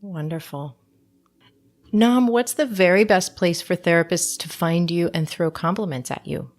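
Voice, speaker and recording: A woman's voice: lowish voice, measured pace, dry recording. A woman hosting a podcast, affirming her guest and chatting through a short solo segment. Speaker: podcast host.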